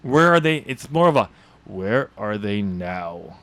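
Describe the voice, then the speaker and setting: A man co-hosting a podcast in casual unscripted chat, talking haltingly and often correcting himself. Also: fairly low pitch, sing-song, a bit bright. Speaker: podcast co-host, author discussing own novel draft.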